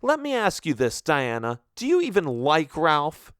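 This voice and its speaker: A man reading a story aloud, voicing a defiant, hot-tempered teenage girl in a raised, shrill voice. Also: wildly swooping pitch, measured pace, fairly bright tone, smooth, dry recording, clean studio sound, exaggerated voice. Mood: furious.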